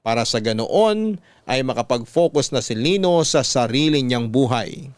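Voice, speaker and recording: A man with a Filipino accent reading a scripted first-person story in a measured, dramatic storytelling manner. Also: medium pitch, lively intonation, no echo. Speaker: radio drama narrator.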